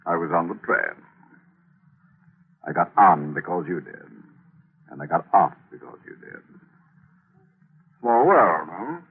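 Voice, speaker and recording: A man's voice: low voice, lively intonation, unhurried, long pauses, slightly rough, heavily acted. An actor in an old-time radio thriller playing a sly, gloating gunman who taunts with folksy, mocking friendliness. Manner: folksy, taunting, leisurely menace. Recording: tinny narrow-band old radio recording.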